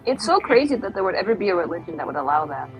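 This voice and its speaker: A woman's voice: fairly low pitch, sing-song, racing, soft background music, low-quality recording. A woman playing a tabletop game, chatting playfully and joking. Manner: playful, jokey. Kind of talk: casual chat while playing.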